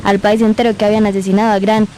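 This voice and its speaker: A woman's voice: medium pitch, level tone, very fast, mellow, slight background noise, close to an everyday voice, low-quality recording. A woman playing a folksy Colombian grandmother who tells stories colloquially in an amateur radio drama. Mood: reminiscing. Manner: colloquial, storytelling. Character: folksy storytelling Colombian grandmother, rueful, fond of her grandchildren.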